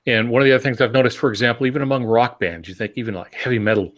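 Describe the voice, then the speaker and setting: A man's voice: mid-range voice, very fast. A man co-hosting a podcast in a conversational style, rambling a little as he builds his points through examples. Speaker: podcast co-host.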